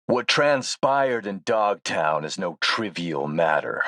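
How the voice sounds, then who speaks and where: An actor playing a defiant, menacing warlord in a video game, making a deliberate and forceful speech. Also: male voice, sits low, lively intonation, no room sound, clean studio sound, full character voice. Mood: indignant, measured. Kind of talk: scripted game voice acting, speech.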